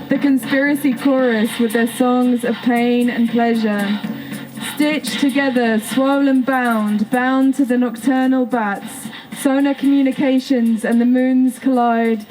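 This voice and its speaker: A woman giving a poetic performance recitation in short, measured, incantatory phrases, as in a performative lecture. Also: fairly high pitch, little pitch movement, a little mellow, poor audio. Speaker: performance artist.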